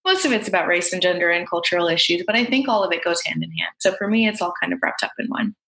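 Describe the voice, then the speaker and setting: A woman, a podcast guest talking in an upbeat, lively way. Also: fairly low pitch, fast talker, gravelly. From United States. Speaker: guest, tech worker and writer. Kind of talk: casual conversation. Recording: roomy echo.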